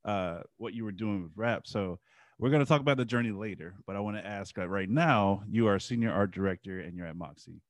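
A man hosting an interview, asking questions in a measured, friendly way with frequent fillers. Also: lowish voice, no room sound. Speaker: interview host.

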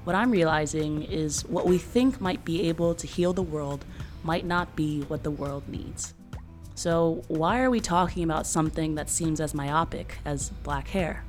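A woman's voice: low-pitched, reverberant. A woman hosting an interview podcast, mixing a scripted intro with casual chat full of "um" and "like". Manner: warm laughing interjections, lots of "um" and "like".

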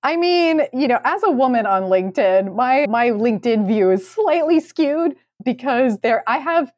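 High-voiced woman, dry close sound. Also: lively intonation, normal speed, a little mellow, no echo. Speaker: podcast co-host. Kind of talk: casual chat.

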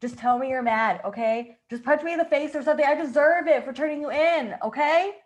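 A woman acts an unafraid captive snitch in improvised radio comedy. Her voice is a bit high. She is blunt and exasperated, talking in casual slang. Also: brisk, muffled recording, close to an everyday voice.

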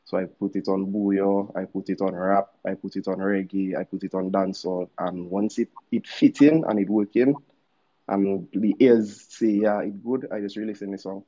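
A man's voice: deep voice, fairly even intonation, normal speed, warm tone, highs cut off. A man in his thirties from Dominica with a Dominican accent, a musician telling his story in a casual, laid-back interview with slangy asides. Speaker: musician guest.

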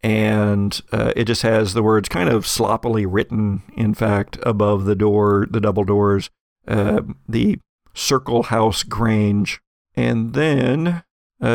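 American man narrating, with a gravelly edge. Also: on the low side, no echo, clean studio sound. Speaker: game master of an actual-play audio drama. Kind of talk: improvised narration of a tabletop game.

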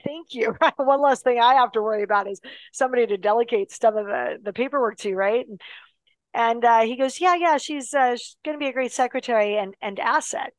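Animated woman telling a story. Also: a little high, no echo. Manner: animated, many fillers and restarts. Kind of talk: casual spoken storytelling.

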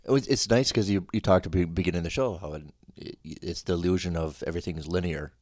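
Deep voiced guy hosting a chat, reflective, backs up his guest a lot. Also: fast talker, darkish tone, no room sound, studio quality. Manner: reflective, affirming, muses in short phrases. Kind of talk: casual interview chat.

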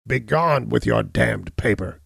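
Man doing a hunchbacked killer, snarling, defiant, blustering, raised strained voice, gravelly, dry sound. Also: medium pitch, normal speed, a little mellow, dry recording, pitched above the performer's own voice. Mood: enraged. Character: snarling hunchbacked male murderer, cornered and defiant. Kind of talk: audiobook reading.

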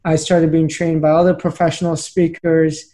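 A man's voice: a little high, little pitch movement, moderate pace, warm tone. Earnest young man telling his story. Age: late 20s. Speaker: podcast guest, speaker. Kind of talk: conversational storytelling.

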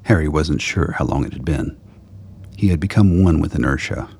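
A man with a very deep, gravelly voice reading scripted narration for a radio play. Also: moderate pace, darkish tone.

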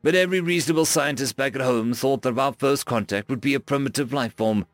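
A man's voice: mid-range voice, brisk, no echo, faint music behind, natural voice. A man voicing a friendly male human starship captain who talks earnestly and gets a little flustered.

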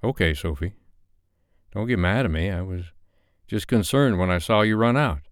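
A man voicing a calm, patient young man who speaks in a soft, coaxing way. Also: low-pitched, moderate pace, no echo, studio quality.

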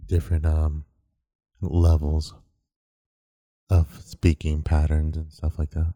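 A man with a very deep baritone voice, chatting casually as a podcast co-host in a relaxed, rambling way with lots of fillers. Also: slow, no echo, studio quality.

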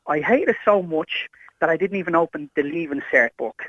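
Phone-in caller, Irish male, middle-aged. Dull lo-fi line, fairly high sharp voice, insistent repetition. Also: a little fast, no room sound. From Ireland. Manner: emphatic, repeats phrases insistently. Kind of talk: impassioned personal anecdote and opinion.

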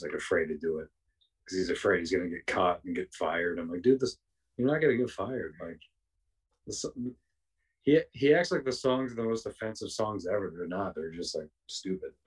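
Raspy-voiced man, rambling and low. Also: recorded from a distance. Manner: rambling, many fillers and restarts. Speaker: podcast guest. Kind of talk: casual chat.

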